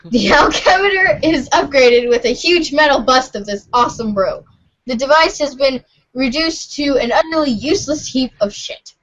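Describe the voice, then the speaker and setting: A high-pitched woman reads comic narration out loud in an informal, giggly manner. The recording is a little dull and lo-fi, like a hobby podcast. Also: expressive, normal speed. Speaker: reader on a fan podcast. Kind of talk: animated read-aloud of webcomic narration.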